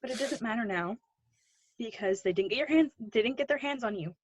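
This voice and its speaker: A woman reading the part of an older, guarded but protective woman in a play, conversational and gently teasing. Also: fairly high pitch, expressive, brisk, husky, dry recording, highs cut off. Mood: pleading, earnest. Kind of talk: play readthrough acting.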